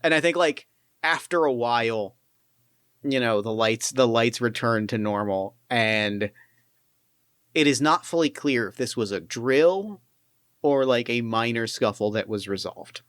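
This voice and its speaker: A man running a solo tabletop game, narrating his pilot's story in a reflective, halting way. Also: mid-range voice, lively intonation, fairly bright tone, no echo, studio quality. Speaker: game master.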